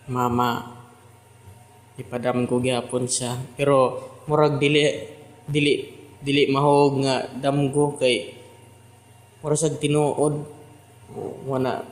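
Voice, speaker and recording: Slow-talking Visayan man, echoey room. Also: medium pitch. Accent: Visayan Philippine. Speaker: host commenting on audio drama. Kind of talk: casual chat.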